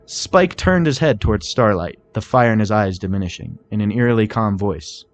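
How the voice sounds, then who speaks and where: A man's voice: fairly low pitch, expressive, normal speed. A man reading fan fiction aloud as a scripted story narrator. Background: music.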